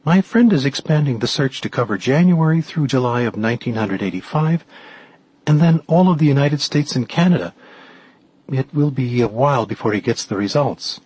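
A man voicing a brisk, eager young woman researcher in an audiobook, chatty and assertive. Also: medium pitch, animated, a little mellow, slightly rough, no room sound, pitched above the performer's own voice, poor audio. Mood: brisk, businesslike. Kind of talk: audiobook character dialogue.

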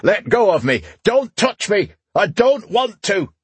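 A man's voice: high voice, big pitch swings, a little slow, slightly rough, dry recording, pitched above the performer's own voice, exaggerated voice. A man voicing a deranged knight screaming in a frantic, childlike fit in an audiobook fantasy story. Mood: panicked, furious.

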